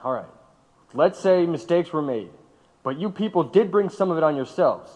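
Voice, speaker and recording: An actor playing a hard-nosed, dismissive government interrogator in an audio drama. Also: male voice, on the high side, expressive, moderate pace, a little mellow, big-room sound, lightly acted. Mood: pressing. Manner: pressing, dismissive. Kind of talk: radio drama acting.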